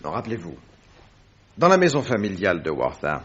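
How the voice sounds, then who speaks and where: An actor playing a self-assured, analytical gentleman detective with dry wit in a French radio drama, speaking deliberately and formally. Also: sits low, huge pitch swings, husky, lo-fi sound, heavily acted. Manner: deliberate, precise, formal phrasing.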